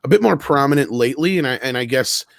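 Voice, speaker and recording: A man's voice: medium pitch, expressive, fast talker, vocal fry, fairly bright tone, no echo, studio quality. A man talking casually on a panel show, chatty and rambling, with a jokey streak. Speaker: online talk show panelist.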